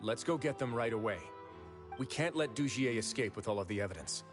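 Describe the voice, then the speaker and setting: An actor voicing a composed, authoritative nobleman investigator in a video game, speaking in a measured, articulate, commanding way. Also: mid-range voice, animated, soft background music, lightly acted. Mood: grave.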